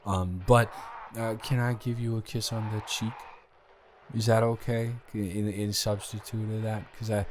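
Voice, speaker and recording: A man's voice: lowish voice, little pitch movement, measured pace, darkish tone, a little hiss or hum, close to an everyday voice. A man playing a drunk young roommate sincerely confessing love in an intimate roleplay audio drama, stammering and rambling as he speaks to the listener. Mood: nervous, pleading. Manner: stammering, rambling, repeating words, tipsy.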